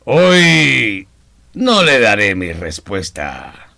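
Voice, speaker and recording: An actor playing a proud, wary former army colonel in a radio drama, speaking formally with weighty, halting delivery. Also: male voice, fairly low pitch, wildly swooping pitch, creaky, pushed and bright, heavily acted. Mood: wary, measured.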